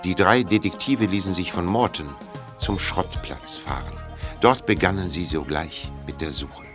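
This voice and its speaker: A man's voice: sits low, unhurried, music in the background. A man giving scripted narration for a German audio drama.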